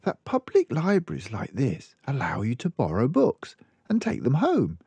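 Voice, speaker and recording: A man voicing a kindly, concerned woman librarian from a storybook, with a gentle, proper, advising manner. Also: mid-range voice, huge pitch swings, moderate pace, breathy edge, dry recording, voice pushed up, full character voice. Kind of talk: storybook character voice.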